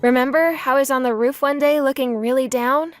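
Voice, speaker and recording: A woman's voice: sits high, steady tone, brisk, soft background music, natural voice. A woman playing a kind, grateful young woman, an old school friend, in a manga dub. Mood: tender, grateful.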